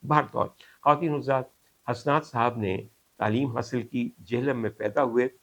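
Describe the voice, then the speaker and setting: Husky Pakistani man, courtly storyteller. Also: mid-range voice, moderate pace, a bit bright. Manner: rhetorical, courtly and dramatic phrasing, switches into English and Punjabi. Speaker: host, doctor. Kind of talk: storytelling monologue to viewers.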